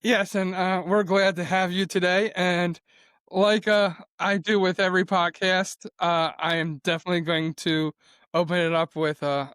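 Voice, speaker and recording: Guy co-hosting a podcast, lots of uhs, smooth voice, dry close mic. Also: sits high, level tone, normal speed, a bit bright, dry recording. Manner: hesitant, many uhs. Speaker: podcast co-host.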